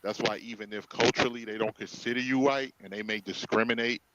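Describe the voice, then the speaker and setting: A man's voice: fairly low pitch, fairly even intonation, quick pace, bright, forceful tone, no echo, muffled recording. A man in a casual stream discussion who explains his points and often restarts his sentences. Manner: explanatory, repeats sentence starts. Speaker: stream participant.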